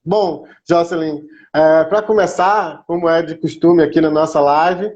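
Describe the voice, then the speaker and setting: A man's voice: a little high, fairly even intonation, unhurried, darkish tone. A man with a Brazilian Portuguese accent hosting a live stream in a casual, chatty, welcoming way. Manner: informal and welcoming, greets viewers by name. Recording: dull lo-fi online stream audio.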